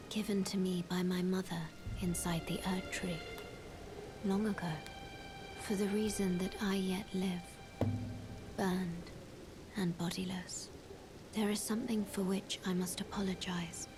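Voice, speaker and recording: A woman playing a solemn young bodiless maiden in a fantasy video game, speaking in a hushed, measured, archaic way. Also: fairly low pitch, level tone, over music. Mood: wistful, solemn.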